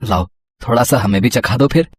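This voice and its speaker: Husky, caring village farmer dad played by a man. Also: mid-range voice, brisk, dry recording, close to an everyday voice. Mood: curious, amused. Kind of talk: cartoon story voice acting.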